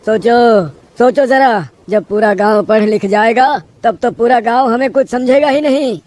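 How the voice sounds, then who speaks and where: A woman's voice: fairly high pitch, unhurried, a little mellow, no echo, close to an everyday voice. Woman playing a haughty, scornful village headman. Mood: resentful scorn. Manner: scolding, condescending. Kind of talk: cartoon moral story dialogue.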